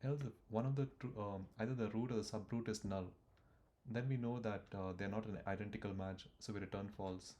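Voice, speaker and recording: A man's voice: on the low side, fairly even intonation, a little fast, mellow, slight background noise. A man explaining code step by step as he types it, thinking aloud with fillers and restarts. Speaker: coding tutor. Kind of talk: screen-recorded coding walkthrough.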